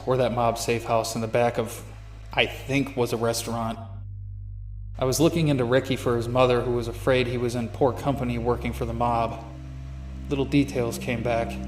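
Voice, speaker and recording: An actor playing a troubled private detective with memory gaps in a noir audio drama, brooding and confessional. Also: male voice, medium pitch, level tone, a little fast, reverberant, natural voice. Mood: brooding, anxious. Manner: brooding, confessional inner monologue.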